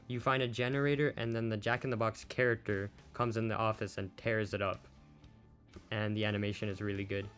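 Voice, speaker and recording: A man giving a casual gaming news rundown as a video host, talking informally as he goes through updates. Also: fairly low pitch. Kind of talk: casual news summary commentary over images. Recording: music behind the voice.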